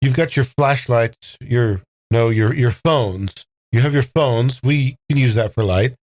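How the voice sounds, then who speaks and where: A man role-playing in a tabletop game, with a measured, rather formal way of speaking. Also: medium pitch, a little mellow, dry recording, low-quality recording. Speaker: player. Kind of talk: improvised in-character role-play.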